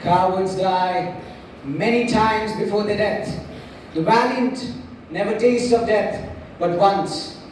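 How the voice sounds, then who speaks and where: A young man playing a proud, fearless Roman ruler in a school stage play, with lofty, deliberate declaiming. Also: sits high. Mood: resolute. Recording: distant, very echoey hall, faint noise.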